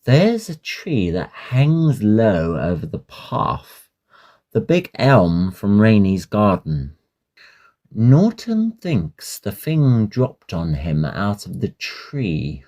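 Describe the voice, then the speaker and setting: A man's voice: fairly low pitch, very animated, takes it slowly, dark tone. A man playing a chatty young Victorian Oxford student in an audio drama, jaunty and full of old-fashioned slang. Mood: gossipy, dramatic.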